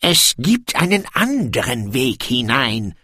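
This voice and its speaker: A man voicing a proud, sly, sardonic dwarf king in an audiobook, with a slow, knowing delivery. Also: mid-range voice, very animated, pushed and bright, breathy edge, no room sound, studio quality, heavily acted. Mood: sly, mock surprise.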